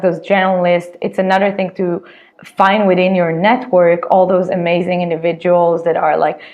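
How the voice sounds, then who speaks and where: A woman's voice: on the low side, a little mellow, highs cut off. A woman from Israel with an Israeli accent, a startup co-founder giving casual interview answers, full of "like" fillers and restarts. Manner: chatty, many "like" fillers and restarts.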